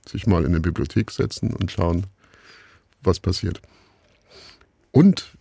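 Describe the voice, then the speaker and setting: A middle-aged man giving reflective answers as an interview guest, musing and haltingly searching for words. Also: deep voice, vocal fry, mellow, slightly rough, dry recording. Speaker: interview guest, radio play maker.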